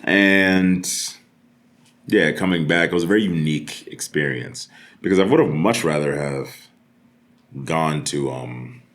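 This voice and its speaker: A man's voice: extremely low pitch, unhurried, a bit bright. A man from the United States, a podcast guest telling casual comedic stories with profane, slangy exaggeration.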